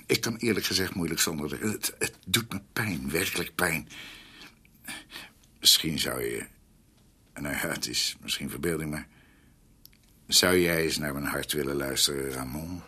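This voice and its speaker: Radio play: an ailing, needy old doctor. Forceful edge on a husky voice, halting speech. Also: male voice, a bass voice, exaggerated voice. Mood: grateful, wistful. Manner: halting, hesitant. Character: ailing older male doctor and scholar, proud, needy. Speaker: actor in a radio drama. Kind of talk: radio drama acting.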